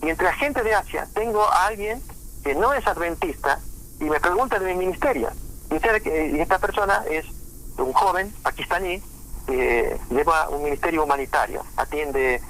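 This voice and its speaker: A man preaching informally in a halting way, with frequent restarts and fillers. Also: on the high side, a bit bright, a little hiss or hum, rough recording. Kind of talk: informal sermon talk on prophecy.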